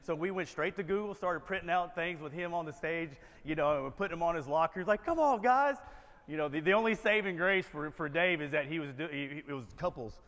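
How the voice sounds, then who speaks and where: A man's voice: fairly high pitch, fairly quick, a bit bright. An American man giving a motivational keynote as a storyteller, conversational and often checking in with a tagged-on "right?". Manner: conversational, punctuated with frequent "right?" tags. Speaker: keynote speaker, military veteran. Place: conference ballroom, on stage before seated audience. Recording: echoey hall with long reverb.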